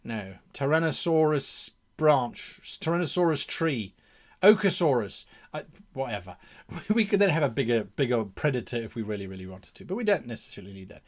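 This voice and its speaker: A man's voice: medium pitch, very animated, fairly quick. A man hosting an advice show in a casual, enthusiastic explaining style, with theatrical emphasis. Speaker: host of a game mastering advice show.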